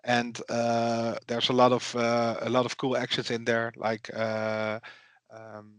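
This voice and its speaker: A man's voice: mid-range voice, completely flat delivery, slow pace, a bit bright, smooth, no room sound. A man co-hosting a tech podcast in casual chat, hesitant with many fillers. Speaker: podcast co-host.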